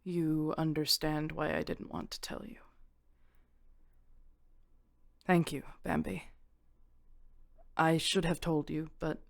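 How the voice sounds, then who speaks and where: A woman's voice: low-pitched, little pitch movement, unhurried, vocal fry, no room sound. A woman voicing a guarded, uneasy vampire detective in an audio drama, talking in a careful, hesitant way. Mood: soft, apologetic.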